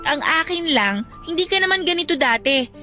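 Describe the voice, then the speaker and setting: Radio drama role: preachy, studious young woman, high bright voice, earnest, over music. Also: expressive, fairly quick, full character voice. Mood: concerned, pleading. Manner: earnest, admonishing. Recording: music bed under the voice.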